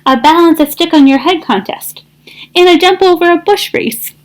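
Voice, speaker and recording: A woman voicing a bossy, boastful young female duck in a storybook, excitable and commanding. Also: very high voice, moderate pace, voice pushed up. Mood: bossy, eager.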